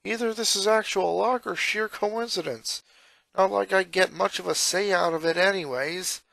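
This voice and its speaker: A man's voice: sits high, steady tone, normal speed, creaky, sharp tone, no echo, voice pushed up, full character voice, rough recording. A man voicing an eleven-year-old boy, a reluctant, sarcastic and grumbling sidekick. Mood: unsure.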